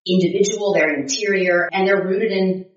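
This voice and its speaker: A woman co-hosting a podcast, talking about faith in an animated way and building one idea on another. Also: medium pitch, normal speed. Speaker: podcast co-host. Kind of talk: reflective faith conversation. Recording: echoey room, distant mic, muffled.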